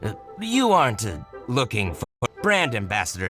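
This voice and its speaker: A man's voice: on the low side, wildly swooping pitch, moderate pace, over music. A man playing a chatty, theatrical, scheming young merchant in a video game. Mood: animated, curious.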